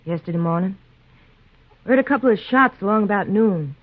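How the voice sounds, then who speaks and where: A woman's voice: mid-range voice, expressive, normal speed, natural voice. A woman acting a hard, anxious young frontier woman in a 1950s radio western. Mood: worried, subdued. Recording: tinny, narrow 1950s radio recording.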